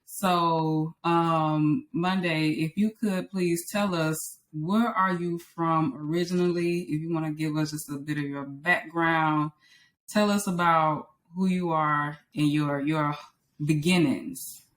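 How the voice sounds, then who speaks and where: A woman's voice: deep voice, fairly even intonation, slow pace. A woman hosting a casual interview, chatty and welcoming as she talks. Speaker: interview host.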